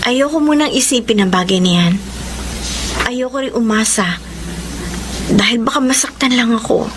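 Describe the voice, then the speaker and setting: A woman playing a soft-hearted, lovelorn, apologetic young woman in a radio drama, earnest and hesitant. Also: a little high, moderate pace, noisy background, natural voice. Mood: wistful. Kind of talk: Filipino radio drama acting.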